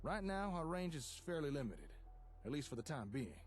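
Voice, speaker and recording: An actor playing an eager, friendly inventor in a video game, upbeat and conversational, with a husky voice. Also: male voice, fairly high pitch, big pitch swings, normal speed, soft background music. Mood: proud, explaining. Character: eager, friendly male inventor showing off settlement tech.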